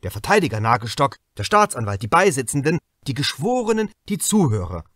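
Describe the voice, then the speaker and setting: A man's voice: mid-range voice, sing-song, a little fast, a bit bright, no echo, studio quality. A man narrating a crime novel as an audiobook, reading from a script with dramatic emphasis.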